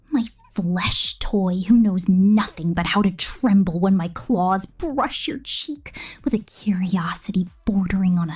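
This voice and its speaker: A woman performing whispered ASMR roleplay as a possessive, menacing female killer robot, with a breathy, theatrical delivery. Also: mid-range voice, a little mellow.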